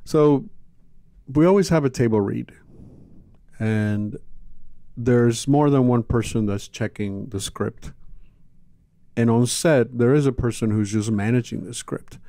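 Gravelly, halting man. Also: medium pitch, dark tone, dry recording. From Cuba. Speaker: interview guest, actor. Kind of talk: casual interview conversation. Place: small indoor studio. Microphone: close studio microphone.

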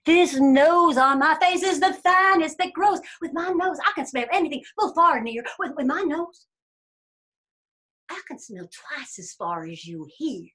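A woman voicing a boastful, sulky male bear in a storybook, with a blustering, drawn-out and emphatic delivery. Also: high-pitched, lively intonation, fairly bright tone, voice pushed up. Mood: scheming, bragging. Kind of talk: animated storybook reading.

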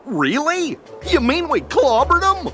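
Clumsy cartoon dog musketeer, dopey drawl, high voice, swooping wildly, game music behind. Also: male voice, very animated, a little slow, fairly bright tone, exaggerated voice. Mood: clumsy, surprised.

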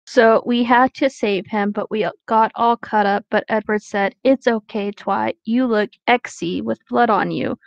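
A woman's voice: a little high, steady tone, measured pace, gravelly, few pauses, dry recording, highs cut off. A woman chatting casually and reading aloud as co-host of a comedy reading show.

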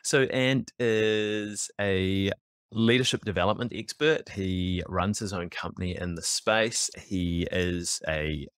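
A man's voice: sits low, takes it slowly, fairly bright tone, clear, resonant tone, no echo. A man in his thirties with a New Zealand accent, hosting a podcast over a video call and asking questions in a matey, friendly way. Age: 30s. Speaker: podcast host. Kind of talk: casual interview chat. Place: indoor room. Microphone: headset on a video call.

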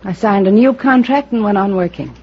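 A woman's voice: medium pitch, brisk, breathy edge, close to an everyday voice. An actress playing a bitter, heartbroken, hard-drinking woman in old-time American radio drama. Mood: bitter, hurt. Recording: dull, noisy old radio transcription.

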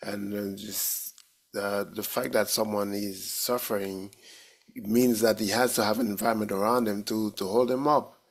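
Guy on a video call, lowish gravelly voice, earnest and halting. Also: steady tone. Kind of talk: casual group discussion.

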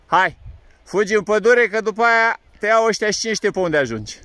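A man's voice: high voice, lively intonation, a bit bright, dry recording. A man working as a ski instructor, talking casually and playfully, teasing and coaxing as he calls out on a slope. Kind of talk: casual phone-video chat while skiing. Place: outdoors on a snowy ski slope. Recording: windy outdoor phone recording, wind noise.

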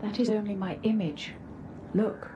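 A woman's voice: mid-range voice, steady tone, moderate pace, highs cut off, very noisy, lightly acted. An actress playing a cool, manipulative female computer persona in a science fiction radio drama, speaking in formal, precise sentences. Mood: detached. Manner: matter-of-fact, formal, precise wording.

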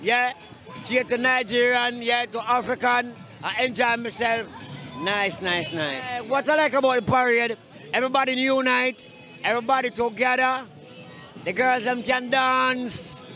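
A Jamaican man with a high, bright voice, talking in relaxed patois with a rhythmic, chanting lilt. He speaks into a handheld mic on a busy city street, with faint music behind him. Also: unhurried, some chatter behind. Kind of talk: street interview with freestyle chanting.

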